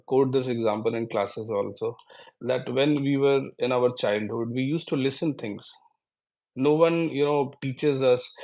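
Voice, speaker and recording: A man speaks with an Indian accent in the explanatory way of a trainer giving advice in a live session. His pitch sits in a middle range for a man. He is earnest and often repeats a point to drive it home. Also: darkish tone, rough recording. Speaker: guest English trainer.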